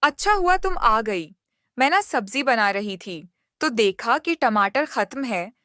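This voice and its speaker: Pushy, lively-pitched neighbor woman played by an actress. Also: unhurried, dry recording, studio quality. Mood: wheedling. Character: pushy, grasping female neighbor who turns haughty. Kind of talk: acted children's moral story.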